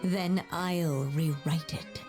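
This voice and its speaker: A gentle storybook narrator and witch, with a fairly low voice and brisk, flowing delivery. Clear music plays behind the measured fairy-tale telling. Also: fairly even intonation. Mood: resolute. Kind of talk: scripted storybook narration in a video game.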